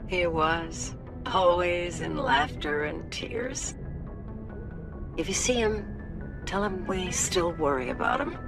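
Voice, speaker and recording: An actress playing a brisk, dutiful middle-aged female naval officer who is also a caring mother, in a video game. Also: lowish voice, big pitch swings, creaky, exaggerated voice. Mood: somber. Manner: brisk, dutiful, quietly concerned. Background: game music.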